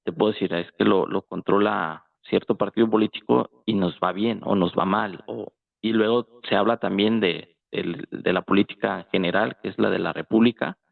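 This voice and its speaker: A man's voice: on the low side, moderate pace, gravelly, hardly any pauses. A man with a Mexican accent chatting casually, circling his points with lots of filler words. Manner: hesitant, circling delivery with frequent filler words. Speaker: participant in a political discussion. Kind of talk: casual chat. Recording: tinny phone-like voice chat.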